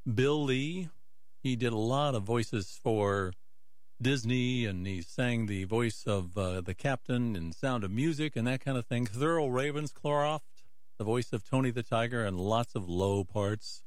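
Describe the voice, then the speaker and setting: Man, radio music host, mid-pitched, casual and chatty, informal record introductions, very dry close sound. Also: measured pace, no room sound.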